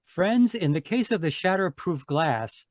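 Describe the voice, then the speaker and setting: An actor playing a confident detective, narrating a case in crisp, declarative old-time radio style. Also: male voice, high voice, expressive, unhurried, no room sound, clean studio sound. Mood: assured. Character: Ellery Queen, confident sleuth explaining his case.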